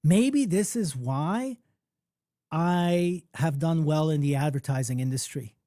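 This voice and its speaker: Animated man pitching a live workshop. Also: takes it slowly, no room sound, studio quality. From Poland. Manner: conversational, animated storytelling with frequent "okay?" and "right?". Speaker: music producer and mentor hosting a workshop.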